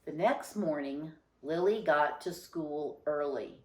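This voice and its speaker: Female storybook reader: expressive, unhurried narration, a little low-pitched, with audible room echo.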